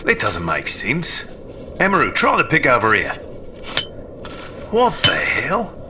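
An actor playing a plain-spoken, excitable Australian mining engineer in a radio drama, blunt and full of slang. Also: male voice, mid-range voice, slow, noisy background. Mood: startled, baffled.

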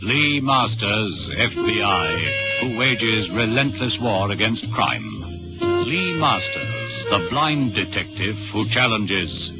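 An actor playing a dramatic, theatrical announcer introducing a radio suspense serial, with a portentous delivery. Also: male voice, fairly low pitch, huge pitch swings, slow pace, heavily acted. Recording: dull old radio recording, loud music.

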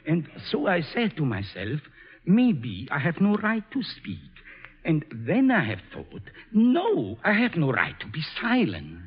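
High, swooping German professor, tinny radio. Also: male voice, huge pitch swings, husky, heavily acted. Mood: fervent, encouraging. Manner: halting, formal, fervent. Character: earnest, kindly, awkward immigrant professor. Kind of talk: 1940s radio drama acting. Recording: tinny old 1940s radio broadcast.

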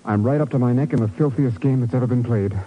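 A man playing a desperate caller in deep trouble, urgent and pleading, in a 1950s radio drama. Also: fast, lightly acted. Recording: tinny narrow old radio telephone scene.

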